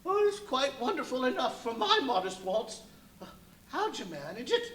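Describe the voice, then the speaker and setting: A man's voice: very high voice, very animated, moderate pace, pushed and bright, exaggerated voice. A man playing a flustered, superstitious rustic tramp who is eager to please, in a live radio play. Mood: amazed. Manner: flustered, exclaiming, folksy. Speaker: stage radio play actor. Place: live performance at a brewery venue. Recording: echoey live stage recording.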